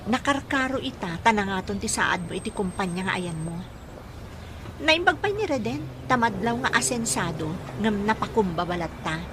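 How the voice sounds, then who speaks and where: A woman's voice: medium pitch, lively intonation, moderate pace, background noise, lightly acted. A woman playing a frank, friendly young job seeker in an Ilocano audio drama, candid and outspoken. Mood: reproachful.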